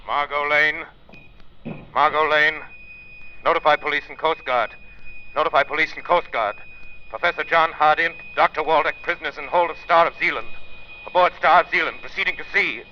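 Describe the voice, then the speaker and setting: A man's voice: a little high, fairly quick, bright, forceful tone. A man playing a resolute, mysterious crime-fighter, clipped and declamatory in 1930s radio drama style. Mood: urgent calling. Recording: muffled, noisy 1930s radio recording.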